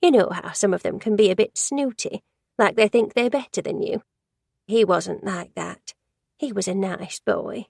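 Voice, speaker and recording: A woman's voice: mid-range voice, moderate pace, vocal fry, darkish tone, husky, no echo, studio quality. A woman voicing a gossipy, judgmental older woman who works in an office, rambling and easily sidetracked in a storybook reading.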